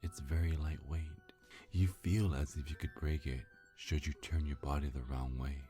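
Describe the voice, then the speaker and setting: A man's voice: a bass voice, fairly even intonation, normal speed, dark tone, faint music behind. A man narrating a scripted ASMR fantasy story as its storyteller.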